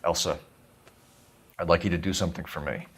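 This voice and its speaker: Earnest 1940s American detective, low voice, clipped, guarded, video game drama, slight background noise. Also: male voice, steady tone, a little fast. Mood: persuasive.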